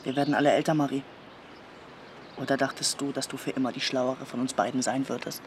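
A man's voice: mid-range voice, level tone, fairly quick, lightly acted. A male actor playing a blunt, bitter, estranged man in a German radio drama, curt and controlled. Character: bitter, blunt man, estranged old friend grieving his brother. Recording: clear ambient noise behind voice.

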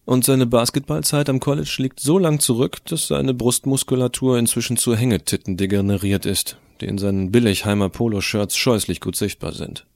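A man's voice: medium pitch, fairly even intonation, normal speed, gravelly, hardly any pauses, a little mellow, dry recording, close to an everyday voice. A man playing a cocky, irreverent teenage schoolboy who narrates his own story in a sardonic, colloquial way in an audio drama. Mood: mocking. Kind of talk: first-person scripted narration, fan audio drama.

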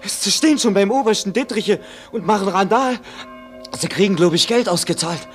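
Ein Schauspieler spielt im Hörspiel einen aufgeregten, atemlosen jungen Burschen mit schlesischem Akzent. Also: male voice, high-pitched, normal speed, faint music behind, natural voice. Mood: excited, breathless. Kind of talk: German radio play acting.

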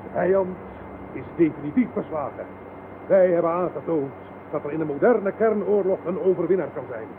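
An actor playing a pompous, fanatical, self-glorifying general in a radio play, with a grandiose, speech-making delivery. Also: male voice, a little high, brisk, natural voice. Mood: grandiose, boastful. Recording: noisy, dull 1970s radio recording.

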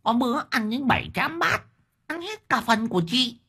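A woman's voice: sits high, expressive, moderate pace, bright, forceful tone, husky, full character voice. A woman playing a coarse, talkative village wife who complains bluntly about her husband in an audio story. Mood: grumbling. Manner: blunt, exclamatory, colloquial complaining. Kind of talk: dramatized audio story dialogue.

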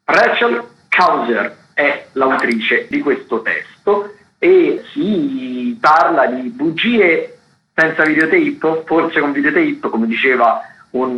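A man chats through theatre listings on the radio in a roomy, slightly muffled sound. His pitch is average for a man, and he wanders off, hesitates and corrects himself in a casual way. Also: a little hiss or hum. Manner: chatty, digressive, hesitant with self-corrections. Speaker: theatre critic and guest contributor.

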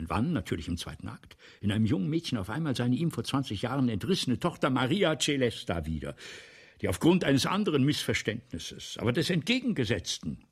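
A man acting in a German radio play as a grandiose, excitable playwright, pompous and theatrical, moving between whispers and outbursts. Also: mid-range voice, slightly rough. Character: grandiose, excitable male playwright scheming a lurid melodrama.

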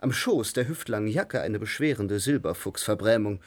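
A man's voice: on the low side, expressive, normal speed. An actor playing a male stage actor who talks in a wry, chatty way.

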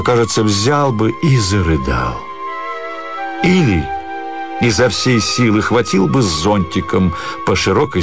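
A man narrating a literary story for radio, with expressive, measured dramatic phrasing. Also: on the low side. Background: music.

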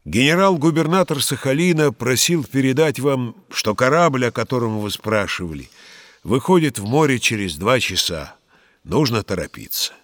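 Guy playing a deferential official messenger, lively swings in pitch, dry sound, audio drama. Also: normal speed, no room sound, lightly acted. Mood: brisk, respectful. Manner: respectful, urging. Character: brisk, deferential male messenger of a governor.

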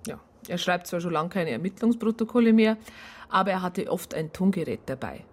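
A matter-of-fact woman in a radio play. The voice is low and slightly raspy, laying out facts about a colleague in a dry way. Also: lively intonation, a little fast. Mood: resigned. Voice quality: husky, low-pitched. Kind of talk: radio drama dialogue.

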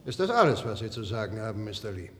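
An actor playing a stern, sceptical and dignified judge in a German radio drama, formal and authoritative. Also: male voice, lowish voice, very animated, quick pace, a bit bright. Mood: sceptical, indignant. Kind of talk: 1960s-1990s German radio drama acting. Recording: reverberant hall, old radio play.

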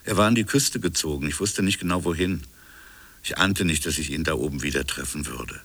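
A man's voice: a bass voice, fairly quick, gravelly, a bit bright, slightly rough, heavily acted. An actor playing a colonial official in a radio drama, recounting his own investigation in a brooding, insistent manner. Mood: matter-of-fact, defensive. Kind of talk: radio drama dialogue and first-person narration.

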